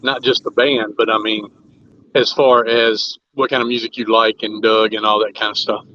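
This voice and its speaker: A man hosting a casual interview, speaking informally in halting, loosely phrased sentences. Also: on the low side, little pitch movement, sharp tone, lo-fi sound, slight background noise. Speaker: interview show host, musician.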